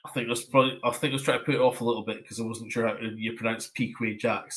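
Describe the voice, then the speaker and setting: A Scottish man hosting a casual music chat, relaxed, moving the conversation along. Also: mid-range voice, racing. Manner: relaxed, leads the running order. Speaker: host.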